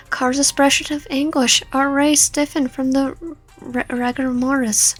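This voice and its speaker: Higher-voiced woman narrating a dramatic story. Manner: stumbles and repeats words while reading. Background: background music.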